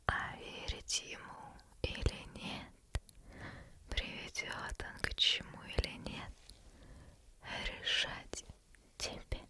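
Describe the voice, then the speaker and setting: A woman whispering as a teasing female demon who reads a bedtime story, slow and intimate, close to the microphone. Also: lightly acted. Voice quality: soft unvoiced whisper throughout. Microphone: close sensitive ASMR microphone.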